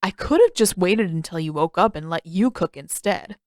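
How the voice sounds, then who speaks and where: A woman's voice: lowish voice, lively intonation, moderate pace, no echo, clean studio sound, lightly acted. A woman voicing an indignant, boastful and defensive teenage boy in an audiobook, protesting with emphasis. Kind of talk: audiobook character voice.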